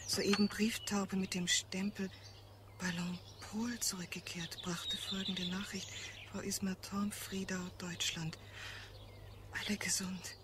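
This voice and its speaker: An actress playing an anxious, devoted wife in a radio play, with an earnest, emotional delivery. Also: female voice, on the low side, background noise, close to an everyday voice. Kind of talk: German radio play acting. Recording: clear background birdsong and ambience. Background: birdsong in a garden scene.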